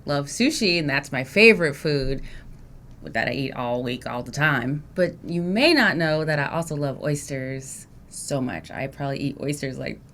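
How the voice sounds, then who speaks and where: A woman's voice: extremely low pitch, expressive, moderate pace, fairly bright tone. A woman hosting a podcast interview, chatting casually and encouraging her guest. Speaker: podcast host.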